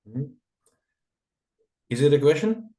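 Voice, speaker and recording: Male guest, halting delivery, roomy echo. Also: on the high side, expressive, dark tone. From Russia-born, Ukrainian. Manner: halting, searching for words. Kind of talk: casual Q&A answers.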